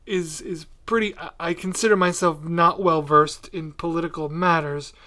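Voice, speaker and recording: A man hosting a podcast, chatting casually in a tentative, agreeable, halting way. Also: a little high, fairly even intonation, bright, forceful tone. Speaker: podcast host. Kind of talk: casual interview chat.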